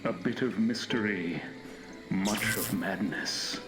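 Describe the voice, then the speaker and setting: Loud music surrounds an echoing, very deep voice, a man putting on a sinister horror host. He draws out every phrase with ominous, theatrical menace, as if luring a guest into a lair of monsters in a gothic podcast introduction. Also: takes it slowly. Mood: ominous, enticing. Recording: echoey room under loud music.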